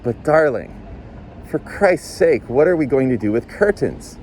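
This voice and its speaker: A man's voice: medium pitch, expressive, measured pace, a little mellow, voice pushed up, close to an everyday voice. A man reads the part of a baffled working-class husband in a storybook reading. He protests in exasperation but stays loving and pleading. There is faint outdoor noise behind him. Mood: exasperated, pleading.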